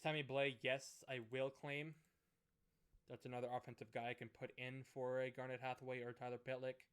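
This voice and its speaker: A man with a hoarse, fading voice, thinking aloud as he commentates a hockey management game. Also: mid-range voice, level tone, fairly bright tone. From Canada. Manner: musing aloud, unhurried, thinking through choices. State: hoarse, losing his voice. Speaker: gaming commentator. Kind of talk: let's play commentary of a management sim.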